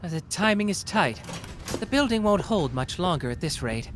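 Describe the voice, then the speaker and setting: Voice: medium pitch, sing-song, normal speed, a little hiss or hum, natural voice. A performer playing a cocky, playful young male genius detective in an anime roleplay, taunting and analytical in a theatrical way. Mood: musing, calculating. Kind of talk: anime roleplay audio drama, deduction monologue.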